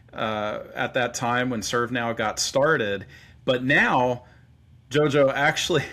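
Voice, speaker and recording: A man of middling pitch hosts a ministry podcast interview. He speaks earnestly and sincerely, pausing often and filling gaps with small hesitations as he introduces his guest. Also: a bit bright. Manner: earnest, halting with fillers. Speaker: podcast host.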